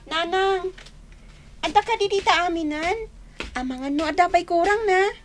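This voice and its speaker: Very high-voiced Ilocano girl. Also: moderate pace. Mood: anxious. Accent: Filipino, Ilocano. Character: anxious young daughter helping her parents. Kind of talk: Ilocano radio comedy drama acting.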